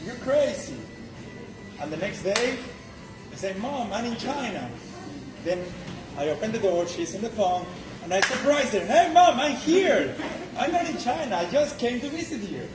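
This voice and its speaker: A man telling an impromptu story as a contestant, acting out dialogue in an animated way. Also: very high-pitched, moderate pace, far from the mic. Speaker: contest participant. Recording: echoey room from afar, music behind.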